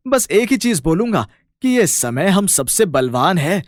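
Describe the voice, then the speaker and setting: A man plays a humble farmer and elder brother in an animated bedtime story. He speaks heartily and affectionately, with a forgiving temper, in a dry recording. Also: sits high, measured pace, no room sound, voice pushed up. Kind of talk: animated moral story character dialogue.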